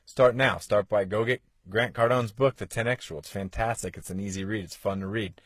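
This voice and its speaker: A man in his forties gives a motivational podcast talk in a fairly low voice. He sounds earnest and coaxing, and he leans on rhetorical questions. The close mic gives a dry, intimate sound with no room echo. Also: fairly even intonation, brisk, a bit bright. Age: 40s. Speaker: podcast host.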